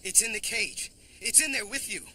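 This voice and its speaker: An actor playing an urgent control-room operator making a call in film dialogue, with noise behind. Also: male voice, sits high, normal speed, loud music behind the voice, natural voice.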